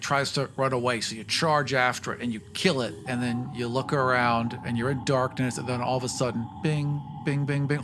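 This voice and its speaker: A man's voice: mid-range voice, background noise. A man in a casual tabletop game discussion, explaining with vivid storytelling.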